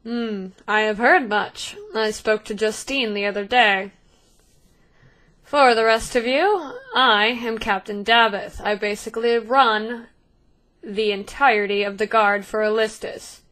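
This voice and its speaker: A woman's voice: on the high side, fairly even intonation, measured pace, a bit bright, low-quality recording. A woman voicing a tall half-elf male guard captain in a tabletop game, speaking with dry, clipped formality and wry remarks.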